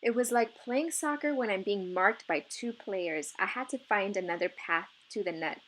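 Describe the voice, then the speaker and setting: A woman narrating a children's novel as an expressive read-aloud. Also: medium pitch, moderate pace, low-quality recording.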